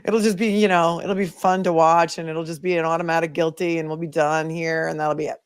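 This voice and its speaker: A woman's voice: sits low, level tone, brisk, clean tone. A woman with a Boston accent hosting a legal livestream, chatting casually and thinking aloud as she answers viewer comments. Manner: conversational, opinionated, thinking aloud.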